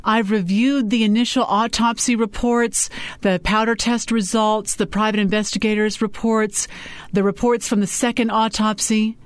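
A woman's voice: mid-range voice, flat delivery, normal speed, no echo, pitched above the performer's own voice, natural voice. A woman voicing an earnest, polite, bold female lawyer in an audiobook. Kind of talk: audiobook character dialogue.

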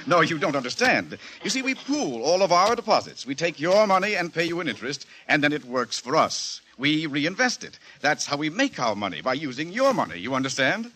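A male actor playing a pompous, condescending bank vice president with an American accent, declaiming briskly in a 1940s radio comedy. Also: a little high, sing-song, pushed and bright. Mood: pompous, explaining.

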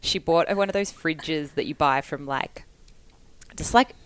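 A woman's voice: sits low, measured pace, clean tone, no room sound. An Australian woman co-hosting a podcast, chatty and laughing a lot as she tells stories, with many fillers. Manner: chatty storyteller, lots of "like", laughs often. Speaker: podcast co-host. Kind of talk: casual chat.

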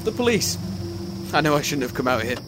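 A man's voice: on the high side, very animated, a little fast, loud music behind the voice. An actor playing a cautious young man in an audio drama, wary and exasperated as he resists. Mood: reluctant. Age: young adult.

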